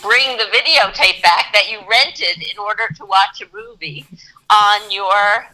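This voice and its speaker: A woman author answering interview questions in unhurried casual chat, with frequent fillers and amused asides. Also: medium pitch, pushed and bright, poor audio. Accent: Newfoundland. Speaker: guest author.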